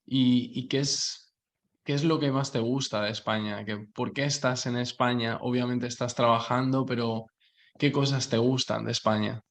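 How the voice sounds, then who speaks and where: A man's voice: medium pitch, fairly even intonation, normal speed. A man, a language coach, hosting an interview and encouraging his guest with frequent short affirmations.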